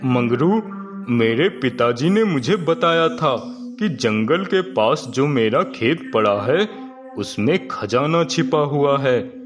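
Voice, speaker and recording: A sly village landlord, acted by a man, talks slowly and patronizingly. He sounds falsely kind and coaxing, in a cartoon moral tale with music playing behind. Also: medium pitch, lively intonation. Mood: persuasive. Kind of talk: Hindi moral-story cartoon dub.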